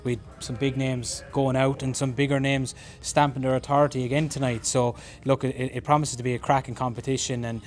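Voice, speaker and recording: This Irish man is a racing pundit who speaks in a flat, even tone. His analysis is measured and unhurried, and he often leads into a point with "look". His voice sits at a middle pitch for a man. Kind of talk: race analysis chat.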